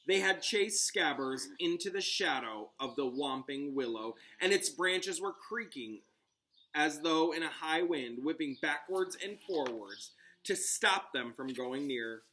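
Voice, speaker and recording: A man's voice: a little high, lively intonation, a little slow, bright, forceful tone. A man reading a storybook aloud as a teacher, with dramatic narration aimed at children. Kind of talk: expressive storybook read-aloud with asides.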